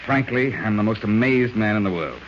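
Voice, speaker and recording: A grave, earnest gentleman official played by a man in an old radio play. His voice is on the low side, formally grateful, under noticeable hiss. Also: lively intonation, normal speed, close to an everyday voice. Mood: grateful, amazed. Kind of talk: 1940s radio drama acting. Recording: muffled, hissy 1940s radio recording.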